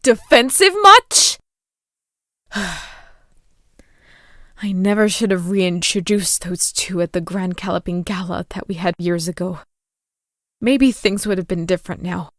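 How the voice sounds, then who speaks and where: A woman's voice: lowish voice, lively intonation, unhurried, long pauses, a bit bright, dry recording, clean studio sound. A woman voicing a regal, lovelorn pony princess and older sister in a scripted audio drama, wistful and theatrical, with sighs. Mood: defensive, sighing.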